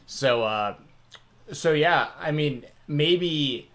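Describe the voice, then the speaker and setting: A man's voice: a little high, extremely slow. Laid-back man hosting a live chat. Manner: relaxed, rambling, many fillers and restarts. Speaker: podcast host. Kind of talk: casual live-stream chat.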